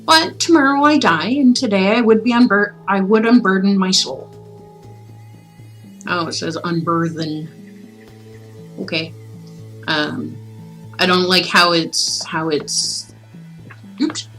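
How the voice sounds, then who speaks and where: A woman's voice: lowish voice, slow pace, long pauses, poor audio. Chatty woman streamer over background music. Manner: chatty, expressive, breaks off into asides. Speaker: livestream host reading aloud to viewers. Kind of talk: casual livestream chat with storybook reading aloud. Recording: music playing behind the voice.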